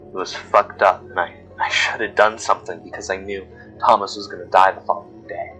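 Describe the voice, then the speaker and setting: A man's voice: low-pitched, level tone, fairly quick, sharp tone, rough recording. A man voicing a haunted, secretive woman coworker in a horror audio drama, speaking in a grave, confiding way. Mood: grave, troubled. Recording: music bed under voice. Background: background music.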